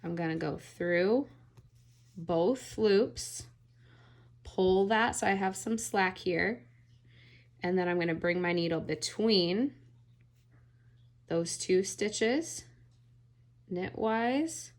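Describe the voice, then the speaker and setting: A woman giving a relaxed, step-by-step knitting tutorial, often checking in with "all right?". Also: medium pitch, slow, long pauses. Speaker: knitting instructor.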